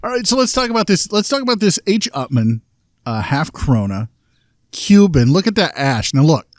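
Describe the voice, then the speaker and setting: A man's voice: a little high, big pitch swings, moderate pace, a little mellow, no echo, studio quality. Opinionated man talking cigars outdoors. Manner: opinionated, complaining, enthusiastic aficionado. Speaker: podcast co-host. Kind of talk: casual chat. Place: outdoors, light breeze.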